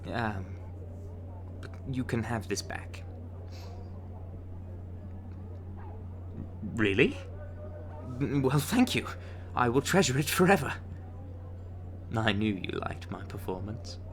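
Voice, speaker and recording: Slow-talking flirtatious bard played by a man, noisy ambience. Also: medium pitch, very animated. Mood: sheepish, warm. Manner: teasing, coaxing, with soft chuckles and hesitant asides. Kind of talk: ASMR romance roleplay, intimate address to the listener.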